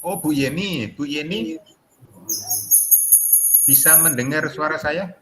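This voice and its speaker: A man with a thick Javanese accent leads an online class over a video call, chatty and full of jokes. His voice is bright and pressed. A faint hiss of background noise sits under it. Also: fairly high pitch, slow. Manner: jokey, chuckling, chatty. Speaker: course facilitator. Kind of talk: joking online class chat.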